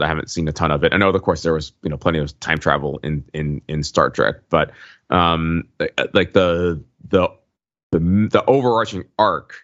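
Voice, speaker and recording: A man co-hosting a podcast, explaining things casually in a halting way, repeating words as he forms thoughts. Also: low voice, a bit bright, dry recording, dull audio. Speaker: podcast co-host.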